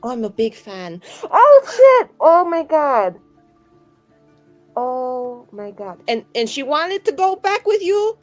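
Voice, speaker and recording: A woman's voice: sits high, expressive, unhurried, hardly any pauses, no echo, faint music behind, pitched above the performer's own voice. A woman playing an earnest, protective mage heroine in a tabletop game, talking bluntly and informally. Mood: starstruck. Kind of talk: improvised tabletop roleplay.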